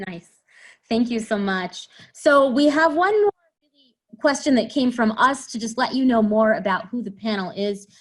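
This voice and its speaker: A woman moderating an online panel, briskly thanking speakers and moving to the next question. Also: on the high side, animated.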